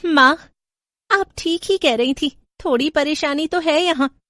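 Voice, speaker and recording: A woman voicing an excitable, anxious young daughter-in-law in a horror story, with an emotive, exclaiming manner. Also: sits high, unhurried, no room sound, voice pushed up. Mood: uneasy.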